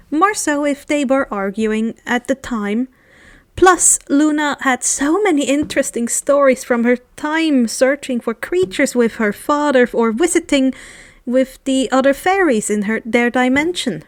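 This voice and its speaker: Woman reading a story out loud, audiobook style, high pitched, really dry close mic. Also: no echo. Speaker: fan fiction reader. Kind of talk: expressive audiobook narration.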